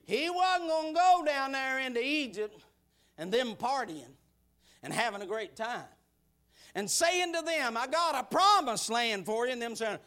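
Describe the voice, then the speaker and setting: A man in his sixties preaches in a strikingly high, swooping voice. He builds repeated lines into shouted bursts of praise, then falls back to quiet, folksy asides. He takes his time, stretching out the big words. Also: expressive, unhurried. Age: 60s. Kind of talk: sermon.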